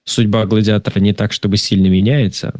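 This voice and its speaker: Casual male film-talk guest, lower-pitched, dry close sound, rambling and halting delivery. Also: little pitch movement, darkish tone, dry recording. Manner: halting, rambling, repeats words. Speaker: film discussion participant. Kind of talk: casual conversational film review.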